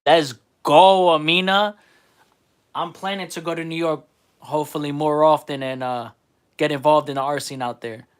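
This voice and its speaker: A man's voice: on the high side, steady tone, moderate pace, clear, resonant tone. A young man from New Jersey with a New Jersey accent, chatting casually on a livestream, laid-back and slangy with fillers, picked up by a computer mic. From New Jersey, USA. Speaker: artist livestreaming. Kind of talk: casual livestream chat, reading viewer comments.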